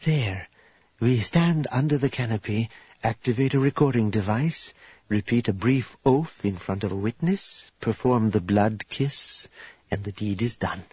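A man voicing a formal, self-assured wealthy noblewoman for an audiobook, courteous and direct, with measured, old-fashioned phrasing. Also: fairly low pitch, breathy edge, no echo, lo-fi sound. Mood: brisk, reassuring. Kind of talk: audiobook character voice.

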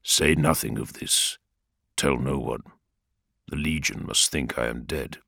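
A man voicing a solemn, commanding demigod lord who speaks in a grave and measured way. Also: a bass voice, gravelly, sharp tone, husky, no echo, clean studio sound, pitched below the performer's own voice, heavily acted.

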